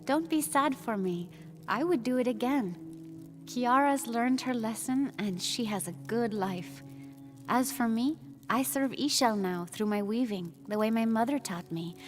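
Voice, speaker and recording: A woman's voice: on the high side, lively intonation, unhurried. Sincere steadfast outcast village woman. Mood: content. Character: selfless, steadfast outcast woman, former midwife, weaver. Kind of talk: video game dialogue acting. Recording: game music behind the voice. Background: music.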